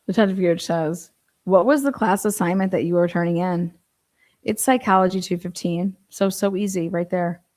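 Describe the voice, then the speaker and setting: A woman's voice: fairly low pitch, moderate pace, darkish tone. A woman giving informal true-crime commentary, reading from a transcript and breaking in with pointed, skeptical asides and rhetorical questions.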